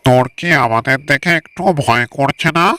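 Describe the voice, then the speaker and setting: A man voicing a blustery, gruff ogre husband in a cartoon story, with emphatic delivery. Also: medium pitch, normal speed, sharp tone, natural voice. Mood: pleased, kindly. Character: gruff man-eating ogre husband, grateful yet menacing.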